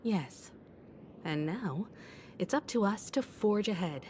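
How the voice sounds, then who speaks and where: An actress voicing a mature, shrewd strategist in a game, calm, articulate and authoritative. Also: female voice, medium pitch, takes it slowly, a little hiss or hum. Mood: calm, resolute. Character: composed, shrewd, mature woman strategist and leader. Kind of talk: scripted game voice acting.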